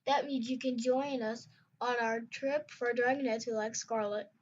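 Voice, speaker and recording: A woman's voice: fairly high pitch, steady tone, moderate pace, voice pushed up, lightly acted. Soar, an earnest young dragon, as a woman puts him on. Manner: polite and a bit awkward. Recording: dull lo-fi recording.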